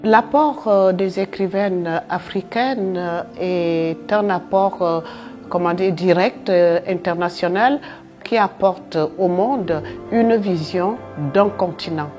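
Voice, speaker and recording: A woman writer speaking deliberately in an interview, with frequent hesitations. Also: on the low side, darkish tone, soft background music. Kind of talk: reflective interview testimony.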